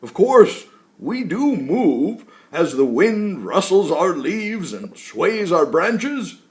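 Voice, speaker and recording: A man voicing a jolly, wise old tree from a storybook, hearty and exclamatory, given to chuckling. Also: fairly high pitch. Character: jolly, wise old talking tree, deep and woody-sounding. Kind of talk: storybook character voice.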